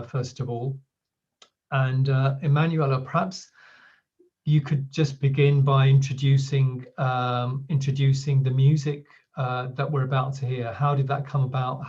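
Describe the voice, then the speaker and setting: A man hosting and moderating an online talk, speaking in a loose, halting way with many fillers. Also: medium pitch, fairly even intonation, a little mellow, highs cut off. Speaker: event host and moderator.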